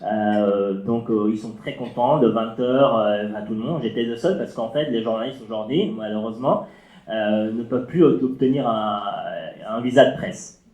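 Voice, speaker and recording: A man's voice: mid-range voice, a little mellow. Afghan-born guy telling his story in second-language french, lots of euh and restarts, echoey room, muffled sound. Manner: halting, many fillers and restarts, non-native French phrasing. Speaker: journalist speaking on a panel. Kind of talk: personal testimony at a round table. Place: library auditorium. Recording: echoey hall, dull lo-fi sound.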